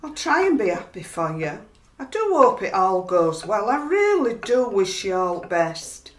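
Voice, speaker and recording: Actress as a downhearted Yorkshire wife, echoey room. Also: female voice, mid-range voice, sing-song, unhurried. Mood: subdued, sad. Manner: blunt, scolding, turning weary. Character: downhearted, resentful working-class wife of a rugby player. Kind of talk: radio play acting. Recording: roomy, echoing home-made recording.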